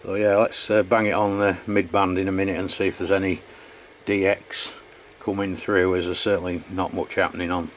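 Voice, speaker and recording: A man's voice: low-pitched, flat delivery, fairly quick. A man giving a casual, unscripted hands-on review of equipment in a matter-of-fact way. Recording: clear background noise.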